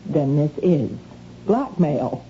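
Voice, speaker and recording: On a muffled, noisy old radio recording, a guarded housewife with something to hide. Her very dark, mellow voice is curt and defensive, in the manner of old radio drama. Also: sits low, expressive, takes it slowly. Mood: anxious, defensive. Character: guarded, indignant housewife with a shameful secret.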